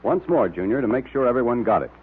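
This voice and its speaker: An American man announcing and pitching in 1930s radio style. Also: big pitch swings, quick pace, a little hiss or hum. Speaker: announcer and sponsor spokesman. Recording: tinny old radio recording.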